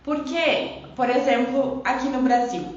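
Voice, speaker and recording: Woman, gaúcho accent, slightly high voice, teacher's informal live lecture, explanatory, frequent tag questions, very reverberant room. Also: steady tone, measured pace. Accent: southern Brazilian, Rio Grande do Sul (gaúcho). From Rio Grande do Sul, southern Brazil. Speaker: belly dance teacher hosting a live lesson. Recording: very echoey room, dull muffled sound.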